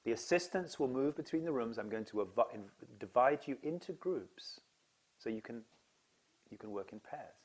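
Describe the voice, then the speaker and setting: A man's voice: medium pitch, lively intonation, normal speed. Earnest man explaining to camera. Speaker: seminar leader.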